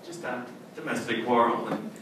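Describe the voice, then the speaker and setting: An actor playing a scheming, cold-blooded judge in old-time radio style, earnest and melodramatic. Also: male voice, medium pitch, moderate pace, natural voice. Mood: feigned distress. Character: scheming, cold-blooded married male judge confessing murder. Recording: echoey hall from far off, noisy.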